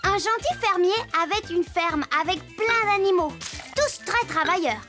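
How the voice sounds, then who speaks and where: A woman voicing a chatty, playful little girl who tells stories in a children's cartoon, in a confiding, expressive way. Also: very high-pitched, normal speed, over music. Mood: storytelling.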